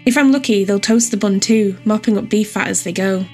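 A woman reads a scripted personal essay in a measured, descriptive storytelling manner. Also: medium pitch, a little mellow, soft background music. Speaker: writer and editor reading own essay.

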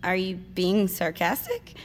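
A woman's voice: fairly low pitch, huge pitch swings, unhurried, slight background noise. A woman playing a confident, snippy teenage girl in a fiction podcast, casual and a little bossy. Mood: puzzled, polite. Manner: casual teen talk, bossy with friend.

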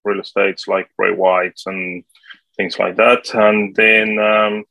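A man in his forties with a Colombian Spanish accent answers interview questions, pausing often on fillers as he works through his story. His voice sits low and stays fairly flat in tone. It sounds bright and edgy, recorded dry and close. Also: vocal fry, no echo. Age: 40s. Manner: halting, with many fillers. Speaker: guest, property manager.

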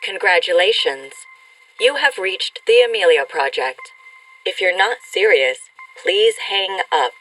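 A secret agency's recorded greeting in an audio drama. She is measured and mysterious, with a lowish but lively pitch, heard a little off-mic. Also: distant mic, low-quality recording. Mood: cool, inviting. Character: cryptic, formal female answering-machine voice of secret agency. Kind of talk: scripted recorded phone greeting.

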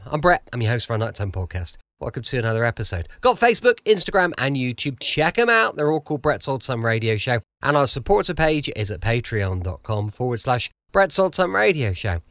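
A man's voice: on the high side, fairly bright tone, no echo, studio quality. A British man hosting a podcast from home in a chatty, informal style. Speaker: podcast host. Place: at home, dry close sound.

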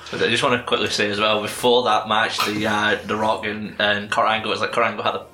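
A man's voice: fairly low pitch, completely flat delivery, fast, sharp tone, echoey room, soft background music. A man co-hosting a podcast, telling stories in a rambling way and laughing mid-story. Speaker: podcast co-host. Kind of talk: casual chat.

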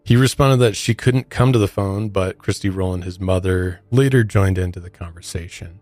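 Male American podcast host, deep register, conversational true-crime narration, faint music underneath. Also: moderate pace, darkish tone, dry recording.

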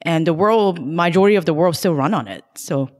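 Woman, lowish voice, podcast guest, long roomy reverb. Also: a little fast. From Hong Kong. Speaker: guest investor. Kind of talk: casual podcast interview.

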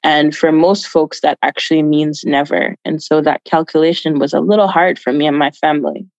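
A woman's voice: sits low, steady tone, moderate pace, a little mellow, no echo, muffled recording. A woman from Jamaica giving earnest, emphatic answers as an activist guest in an interview, repeating phrases for stress.

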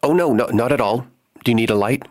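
A man's voice: mid-range voice, fast talker, no room sound, studio quality, voice pushed up, lightly acted. A man voicing a scrawny, nervous male poet who stammers and hesitates, desperate for success. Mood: hopeful.

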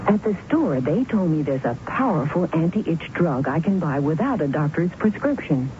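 A woman's voice: sits low, sing-song, moderate pace, slight background noise. A woman in a radio commercial telling of her relief in a testimonial way. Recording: dull old radio ad.

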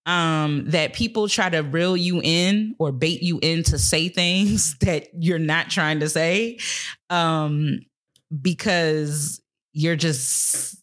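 A woman's voice: sits low, slow. A woman co-hosting a casual podcast, blunt and teasing as she chats. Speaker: podcast co-host.

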